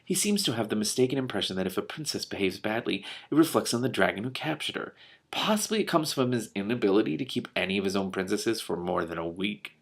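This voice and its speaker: A man reading a storybook as a composed, shrewd female dragon, with a measured, dry delivery. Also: lowish voice, animated, gravelly. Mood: dry, knowing. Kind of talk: storybook read-aloud.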